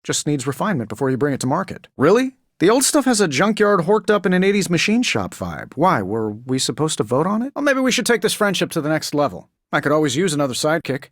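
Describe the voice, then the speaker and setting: An actor playing a cocky, wisecracking billionaire inventor superhero in a video game, with an American accent and a glib, sarcastic, quippy delivery. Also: male voice, a little high, very animated, fast, hardly any pauses, dry recording, studio quality. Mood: smug, quippy. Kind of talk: scripted video game voice acting.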